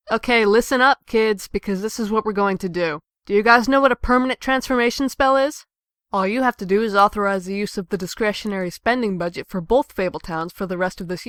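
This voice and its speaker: A woman's voice: mid-range voice, a bit bright, no room sound, studio quality, natural voice. A woman playing a bitter, defiant fairy-tale sister in a comic dub, with a sarcastic, biting manner. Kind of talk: dramatic comic dub acting.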